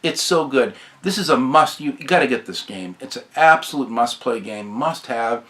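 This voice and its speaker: Male game reviewer, bright forceful voice gone hoarse, unscripted, rambling and keen. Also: mid-range voice, lively intonation, moderate pace. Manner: enthusiastic, rambling, repeats praise. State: hoarse from recent sickness, often clearing throat.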